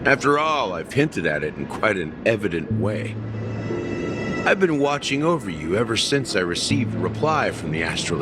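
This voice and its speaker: A laid-back, wry man. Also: on the low side. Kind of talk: game cutscene voice acting. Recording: game score playing loudly underneath.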